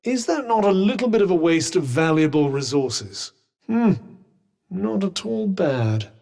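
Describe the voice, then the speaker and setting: A British actor voicing a witty, haughty magical cuff in a video game, speaking in a dry, wordy, formal way. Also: male voice, high-pitched, very animated, measured pace, creaky, darkish tone, long reverb tail, exaggerated voice. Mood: dry, sardonic.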